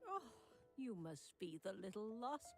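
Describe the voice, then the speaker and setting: A storybook witch prophetess for a video game. She is haughty and solemn, making slow, formal pronouncements at a middling pitch, with prominent music underneath. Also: lively intonation, vocal fry. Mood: solemn, portentous. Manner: imperious, formal proclamations.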